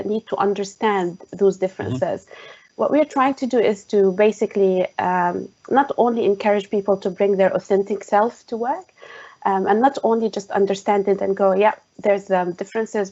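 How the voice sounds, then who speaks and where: A woman with a Jordanian Arabic accent speaks in a middle pitch on an online call. She pauses often to fill gaps with "um" and restarts her sentences as she explains her views. The sound is muffled and lo-fi. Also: mellow. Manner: hesitant, many "um" fillers. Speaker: tech specialist guest. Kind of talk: online panel conversation. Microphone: video call.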